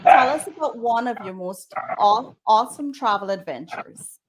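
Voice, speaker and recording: A woman's voice: on the high side, animated, normal speed, very noisy. A woman hosting an interview, asking questions in an encouraging manner with hesitant fillers. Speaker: host.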